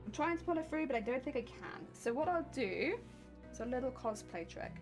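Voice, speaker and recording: A woman's voice: high voice, expressive, a little fast, darkish tone, faint music behind. A woman chatting casually on a livestream, explaining her sewing steps as she works and laughing often. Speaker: cosplay crafting streamer.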